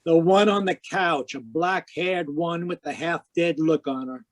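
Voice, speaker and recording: Casting: male actor for hardboiled 1940s radio detective, lighter-pitched voice, dry wisecracks, world-wise and cynical. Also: a little slow, natural voice. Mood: sardonic, defiant. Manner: wry, terse, slangy delivery.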